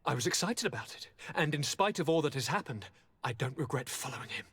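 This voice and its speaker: An actor playing a cheeky, blithe young highborn gentleman in a fantasy game, with a breathy voice and wry, courtly, theatrical manner. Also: animated, quick pace. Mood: animated recounting. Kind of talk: dramatic fantasy game acting.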